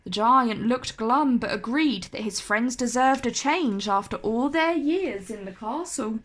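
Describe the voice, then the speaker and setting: Slow, high-voiced woman storyteller. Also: a bit bright. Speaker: children's storyteller. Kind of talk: scripted storybook narration.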